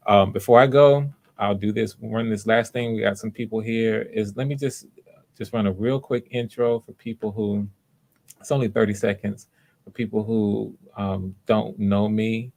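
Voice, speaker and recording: A man with a fairly low voice hosts a casual livestream. His pitch stays fairly flat and steady as he chats with viewers. He comes across as encouraging and appreciative. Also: normal speed, vocal fry. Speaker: livestream host.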